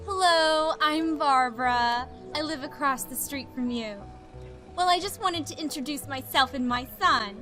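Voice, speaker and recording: A woman's voice: sits high, unhurried, bright, forceful tone. A woman playing a friendly suburban mother in an audio drama, speaking in a chirpy, polite way. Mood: cheerful, polite. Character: friendly suburban neighbor mother introducing herself. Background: music.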